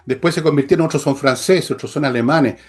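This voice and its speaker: A man's voice: on the high side, fast talker, husky. A man with a Chilean accent giving opinionated political commentary as a host, emphatic, scornful and blunt, with coarse slang.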